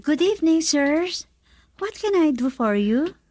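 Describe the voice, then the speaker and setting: A polite young waitress. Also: female voice, sits high, very animated, slow pace, a little mellow, dry recording, soft background music, full character voice. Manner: polite, deferential service talk. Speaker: actress. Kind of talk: radio drama acting.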